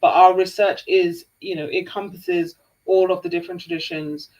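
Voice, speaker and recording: A woman from Jamaica co-hosting a live Q&A stream, reading out viewer questions in a brisk, upbeat way. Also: lowish voice, very animated, creaky, a little mellow, poor audio. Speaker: co-host.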